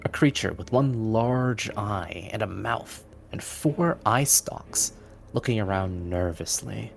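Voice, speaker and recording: A man narrating a tabletop fantasy game as game master, in a deliberate, descriptive storytelling manner. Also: fairly low pitch, gravelly.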